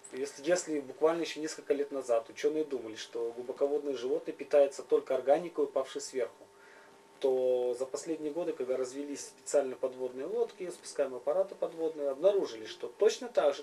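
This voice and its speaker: A man interpreting informally in an interview, phrase by phrase in a halting manner. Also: mid-range voice, warm tone. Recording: slightly distant, faint noise and hum.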